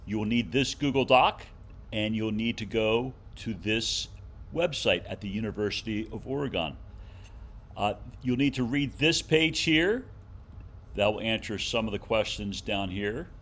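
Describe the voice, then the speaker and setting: A man speaking as a teacher, giving an informal on-screen walkthrough of instructions in a careful, step-by-step way with halting phrases and stressed key words. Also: on the low side, a bit bright. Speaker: teacher giving homework directions.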